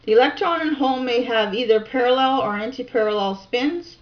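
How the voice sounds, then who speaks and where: A woman reading reference text aloud in a deliberate, informal way, with casual musing asides, on a home recording. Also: on the high side, muffled recording. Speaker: amateur video researcher and narrator.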